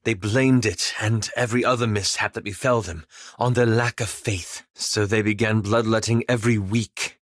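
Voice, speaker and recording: A man playing a weary, guilt-ridden elf adventurer in a video game, speaking in a measured, formal and articulate way with slight hesitance. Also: on the low side, fairly even intonation, no room sound, clean studio sound, natural voice. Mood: weary, regretful. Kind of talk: video game character dialogue.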